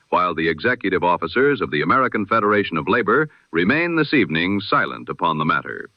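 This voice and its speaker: A man with a lowish voice delivers the evening news in a measured, formal cadence, like an old-time radio announcer. His pitch rises and falls a lot as he stresses each phrase. The sound is dull and dry, with no room around it. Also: no echo.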